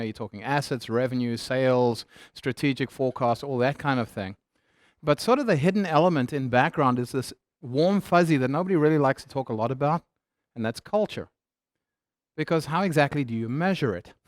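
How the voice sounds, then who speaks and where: A man's voice: medium pitch, no room sound, studio quality. A man from South Africa with a mixed South African accent, giving a humorous, conversational business talk. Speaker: keynote speaker.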